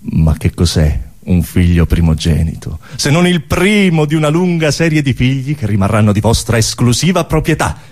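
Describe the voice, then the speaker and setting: Male actor as haughty alien leader, declamatory, formal, deliberate, widely swinging pitch, cold contempt, absurdist stage satire. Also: sing-song, darkish tone. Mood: smoothly menacing. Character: pompous alien orator coldly addressing inferior humans. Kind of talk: absurdist theatrical speech.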